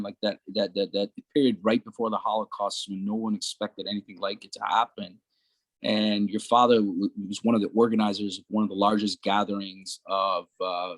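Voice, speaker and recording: A man's voice: lowish voice, no room sound, poor audio. A man hosting an interview, asking long, loose questions full of fillers. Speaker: interviewer and host.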